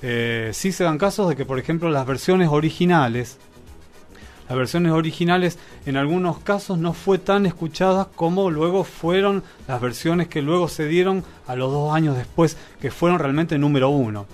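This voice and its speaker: A man's voice: a little high, moderate pace, soft background music. A man talking conversationally in a radio interview, explaining things and rephrasing as he goes. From Argentina. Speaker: record archivist guest. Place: radio programme.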